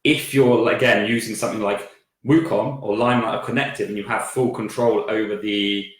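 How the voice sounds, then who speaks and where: A man with a croaky voice answering viewer questions on a live stream, hesitant with lots of fillers and restarts. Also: lowish voice, steady tone, fairly quick. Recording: very echoey room.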